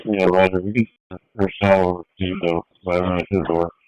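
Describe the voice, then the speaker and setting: A man's voice: low voice, little pitch movement, fast talker, darkish tone, clean tone, poor audio. A man who is a police officer, speaking in brief exchanges over police radio.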